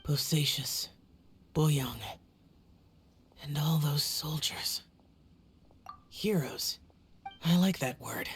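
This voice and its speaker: A woman voicing a solemn, aloof young male guardian spirit in a video game, speaking in a measured, formal way. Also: deep voice, slight background noise, heavily acted.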